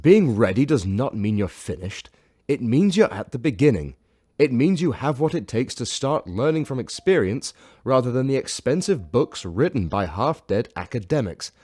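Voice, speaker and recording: A man playing a haughty professor in a sci-fi audio drama, brusque and pompous but gruffly encouraging. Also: medium pitch, big pitch swings, normal speed, warm tone, no room sound.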